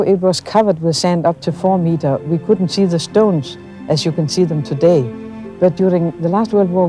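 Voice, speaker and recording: Mellow woman guide. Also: fairly low pitch. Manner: explanatory, storytelling. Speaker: local archaeology guide. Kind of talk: spoken explanation to visitors.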